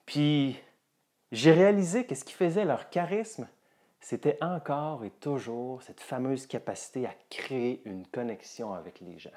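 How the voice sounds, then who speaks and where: A man's voice: mid-range voice, measured pace, a little mellow. A man with a Quebec French accent, a coach telling personal stories and presenting a course to viewers.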